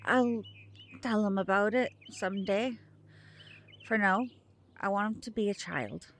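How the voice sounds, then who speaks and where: A woman's voice: medium pitch, unhurried, fairly bright tone, a little hiss or hum. Actress as a solemn, protective magical mother. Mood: wistful, tender. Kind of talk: audio drama acting.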